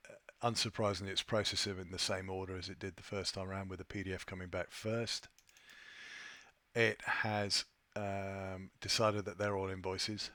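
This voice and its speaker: Guy with a really deep voice doing a screen share walkthrough, kinda hesitant, thinking out loud, dry close mic. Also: level tone, normal speed, no room sound. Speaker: software tutorial presenter.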